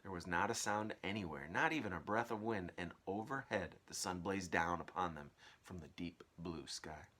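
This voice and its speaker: A man's voice: deep voice, moderate pace, few pauses, bright, forceful tone. A man speaking as a teacher, telling a children's story aloud with casual asides. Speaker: teacher reading aloud to pupils.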